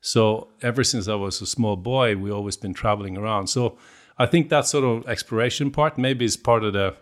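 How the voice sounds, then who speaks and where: Low-voiced Norwegian man, halting and hesitant. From Norway. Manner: hesitant, with many fillers and restarts. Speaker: guest. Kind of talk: casual interview.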